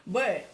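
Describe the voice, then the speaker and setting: Forties woman, chatty co-host who laughs easily, echoey room. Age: 40s. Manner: chatty, laughs often, greets viewers. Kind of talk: casual livestream talk.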